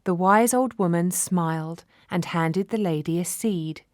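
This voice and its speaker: A woman narrating a children's fairy tale from a script, measured and expressive. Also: fairly low pitch, no echo, studio quality. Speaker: storyteller.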